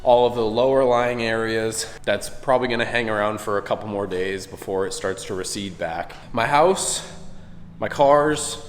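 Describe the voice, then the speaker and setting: A young man getting over a cold talks casually to his viewers in a vlog, speaking relaxed and thankful from inside a large metal garage. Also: fairly low pitch, moderate pace, a little hiss or hum. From Florida. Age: young adult. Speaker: vlogger. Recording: long reverb in a metal garage.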